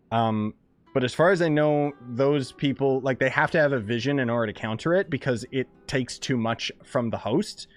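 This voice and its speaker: A man giving casual, informal, slangy commentary as he plays through a game. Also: medium pitch. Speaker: game streamer.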